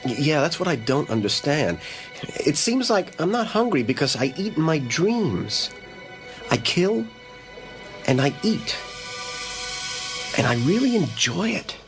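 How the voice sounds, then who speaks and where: An actor playing a troubled young American patient who is haunted by nightmares, in a horror radio drama. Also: male voice, fairly high pitch, very animated, unhurried, pauses often, music in the background.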